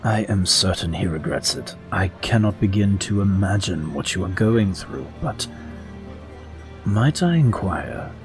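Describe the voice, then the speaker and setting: A man playing a courteous, tactful royal advisor in an audio drama, with formal and measured phrasing. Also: low-pitched, expressive, music in the background. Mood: gently probing.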